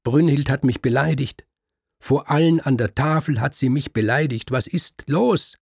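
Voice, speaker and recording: A man voicing Kriemhild, a proud, indignant queen who protests quickly and insistently. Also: lively intonation, creaky, warm tone, slightly rough, no echo, studio quality, exaggerated voice. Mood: outraged. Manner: rising shrill protest, fast and insistent.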